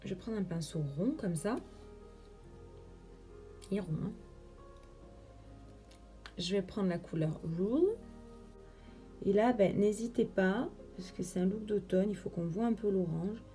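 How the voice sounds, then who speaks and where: Young woman walking thru her makeup, chatty and explaining, soft mellow voice, bit of music behind. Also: mid-range voice, unhurried, long pauses. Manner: chatty, instructive, explains steps as she works. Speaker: beauty vlogger. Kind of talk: makeup tutorial.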